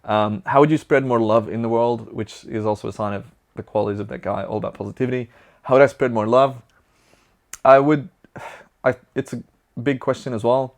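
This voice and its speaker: A man's voice: medium pitch, steady tone, a little fast. A young man from Belgium with a Flemish accent, a native Dutch speaker, answering viewer questions in a chatty, upbeat and rambling way. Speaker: vlogger.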